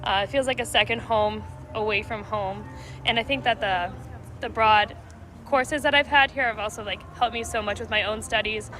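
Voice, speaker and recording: A woman's voice: on the high side, fairly even intonation, moderate pace, sharp tone, soft background music, poor audio. A woman, giving a student testimonial. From United States. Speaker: international exchange student. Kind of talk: testimonial to camera.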